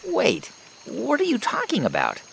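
An actress playing an eager, earnest beaver with a creaky, expressive voice in a children's audio folktale. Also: female voice, fairly high pitch, a little slow, noisy background, full character voice. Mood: hurt, confused. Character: eager, busy, earnest beaver, sociable and sincere.

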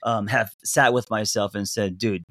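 A man hosting a casual interview chat, earnest and halting, often restarting his sentences. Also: on the low side, steady tone, fairly bright tone, no room sound, clean studio sound. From came to the US at three. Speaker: podcast host.

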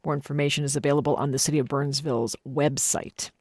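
A woman's voice: low-pitched, fairly even intonation, fast talker, a little mellow, no room sound, clean studio sound. A woman with an American accent presenting scripted local news and weather on the radio.

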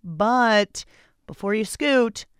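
A woman's voice: fairly high pitch, animated, drawn-out words, clean tone, dry recording, studio quality. An American woman hosting a radio show, narrating in a playful, chatty, wry way. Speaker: radio show and podcast host. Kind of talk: playful conversational scripted narration. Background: music.

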